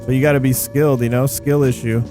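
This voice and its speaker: A man giving casual gameplay commentary in an excitable, slangy, rambling way, often repeating phrases as he thinks aloud. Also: mid-range voice, quick pace. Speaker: video game YouTuber and host. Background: background music.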